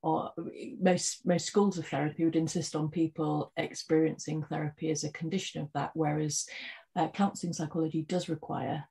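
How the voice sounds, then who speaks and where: A podcast host with a very raspy, gravelly voice, dark in tone. He speaks in a flat, even way, weighs his words, and adds qualifications as he talks. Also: mid-range voice. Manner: measured, qualifies his points. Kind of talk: interview discussion.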